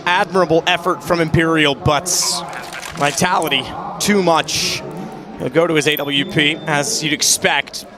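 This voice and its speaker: A man's voice: a little high, steady tone, measured pace, music in the background. A man delivering dramatic, intense live play-by-play as an esports commentator. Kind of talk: live play-by-play commentary on a Counter-Strike match.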